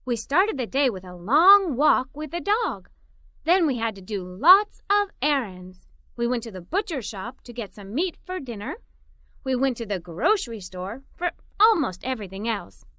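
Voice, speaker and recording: A woman reading a children's story aloud in the first person as a cheerful, imaginative little girl, with an expressive, playful delivery. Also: on the high side, normal speed, no room sound, studio quality.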